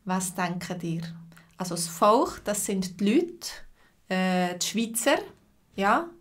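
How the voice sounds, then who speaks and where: A woman with a Swiss accent hosts a live online lesson at an unhurried, deliberate pace. She talks to her viewers one by one and often pauses on fillers. Her voice sits at an ordinary middle pitch for a woman. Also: animated. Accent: Swiss German. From Switzerland. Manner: slow, clear speech with many fillers, greeting viewers by name. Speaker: Swiss German teacher hosting a livestream.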